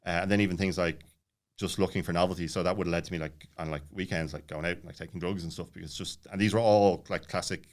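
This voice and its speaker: A man in his late thirties with a low-pitched voice tells a casual personal story. He talks very quickly, looping back and jumping around as he goes, with plenty of filler words. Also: fairly even intonation. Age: late 30s. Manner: rambling, jumps around, many filler words. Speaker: podcast guest.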